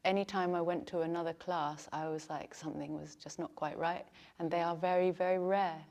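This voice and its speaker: A woman's voice: lowish voice, steady tone, brisk, darkish tone. A woman teaching yoga teacher trainees, talking in a chatty way and laughing often. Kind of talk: teaching a class.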